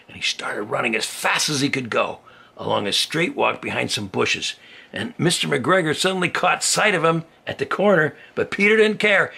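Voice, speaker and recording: An older man, a grandfather reading a children's story aloud in a folksy, chatty way, with a gravelly, husky voice and simple asides as if to a small child. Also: fairly low pitch, pushed and bright.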